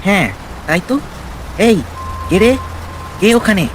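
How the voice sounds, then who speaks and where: A man voicing a fussy, chatty village tea-stall keeper in a cartoon story, animated and complaining in a colloquial way. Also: high voice, takes it slowly, noisy background. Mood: wary.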